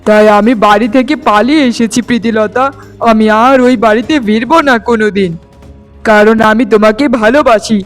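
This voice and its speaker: A man's voice: high voice, steady tone, fairly quick, faint music behind, lightly acted. A man voicing a defiant, lovestruck young villager in an earnest, declamatory cartoon melodrama style. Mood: earnest, determined.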